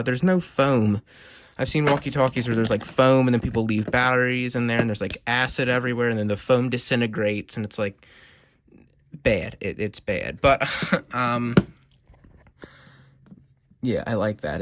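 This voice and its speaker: A man giving a casual, unscripted product review, talking in a rambling, hesitant way at a desk. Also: lowish voice. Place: indoors at a desk. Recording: clear background noise, homemade video.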